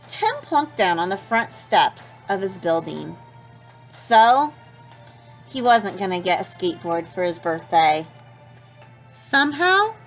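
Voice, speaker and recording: A woman teacher reading a children's picture book aloud, expressive and stopping to ask young listeners questions. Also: mid-range voice, poor audio. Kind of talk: children's storybook read-aloud.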